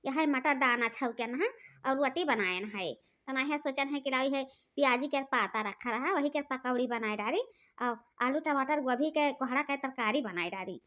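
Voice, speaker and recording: A woman's voice: sits high, moderate pace, natural voice. A woman voicing a bossy, quarrelsome elder sister-in-law, with an Awadhi accent, scolding and taunting. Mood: chatty. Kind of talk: cartoon voice acting. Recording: dull lo-fi recording.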